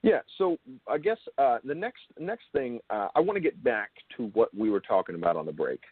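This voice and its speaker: A man hosting a conversational interview, halting as he circles back and repeats his phrases. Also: medium pitch, big pitch swings, slightly rough, dry recording, phone-quality sound. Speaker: show host and interviewer.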